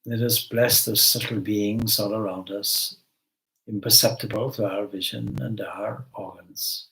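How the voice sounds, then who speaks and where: A man leading a guided meditation as a spiritual teacher, giving gentle instructions with long pauses between phrases. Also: lowish voice, fairly even intonation, gravelly, low-quality recording.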